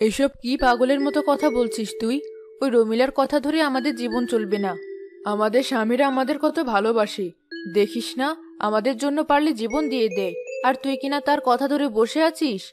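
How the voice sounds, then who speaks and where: A woman's voice: a little high, fairly quick, music in the background, lightly acted. Woman playing a sensible, firm village wife. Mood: admonishing. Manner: admonishing, earnest. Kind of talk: cartoon dialogue.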